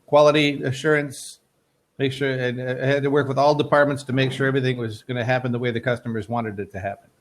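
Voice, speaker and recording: A man's voice: mid-range voice, level tone, fast. An American man tutoring English on a video call, friendly and easygoing, rephrasing things simply for his student. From United States. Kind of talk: casual online tutoring chat.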